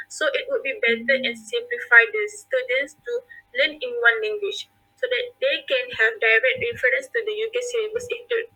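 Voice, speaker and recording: Woman, Malaysian accent, young adult, high voice, forum panelist, hesitant fillers. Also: bright, forceful tone, low-quality recording. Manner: reads points with hesitant fillers and restarts. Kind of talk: prepared forum argument.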